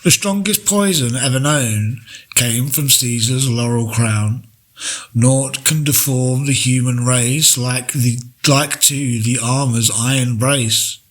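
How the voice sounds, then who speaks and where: Slow, measured man reciting poetry. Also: mid-range voice. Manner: measured, deliberate phrasing with pauses. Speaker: poetry reader. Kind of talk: poetry recitation, read aloud.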